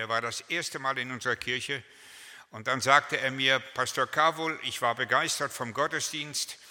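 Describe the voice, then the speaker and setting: Older male pastor, 70s, very bright and forceful voice, chatty anecdotal preaching, long hall reverb. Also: mid-range voice. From Germany. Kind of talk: sermon.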